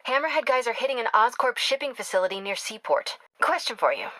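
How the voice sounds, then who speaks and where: A woman's voice: fairly high pitch, big pitch swings, fast talker, pushed and bright, no room sound. An actress playing a driven, caring young woman reporter in a video game, speaking in an earnest, conversational way. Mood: focused. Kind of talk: video game voice acting, natural phone-call dialogue.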